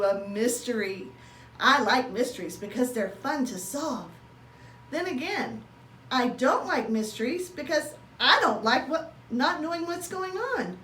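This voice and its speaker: Animated, high-voiced woman reading a storybook. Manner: expressive, acts out dialogue. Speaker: teacher reading a children's book aloud. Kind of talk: animated children's storybook narration. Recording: roomy, distant mic, faint background noise.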